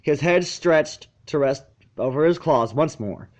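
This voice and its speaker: A man reading a story aloud as narrator, expressive, with dramatic, deliberate emphasis. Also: on the high side. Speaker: fan fiction narrator.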